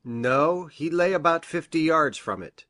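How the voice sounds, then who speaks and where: A woman's voice: low-pitched, lively intonation, a little slow, a bit bright. A woman voicing a grave, hesitant male country doctor in a storybook reading, formal and precise in manner. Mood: matter-of-fact. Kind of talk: audiobook character reading.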